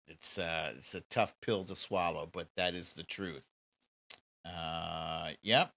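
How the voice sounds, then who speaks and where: A man's voice: low voice, steady tone, takes it slowly, creaky, sharp tone. A man hosting a live stream in casual, playful commentary, chatting to viewers in slangy phrases that he often repeats.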